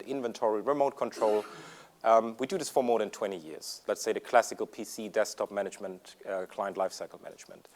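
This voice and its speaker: A man from Germany with a German accent giving a conference presentation in English, with frequent ums and uhs. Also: lowish voice. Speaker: conference speaker. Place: conference room.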